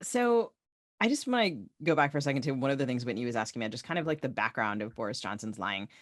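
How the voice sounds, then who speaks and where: A woman with a very deep voice co-hosts a casual interview. Her intonation swings widely as she puts informal questions. The sound is dry and close. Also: quick pace, no echo. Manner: informal, animated. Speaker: podcast co-host.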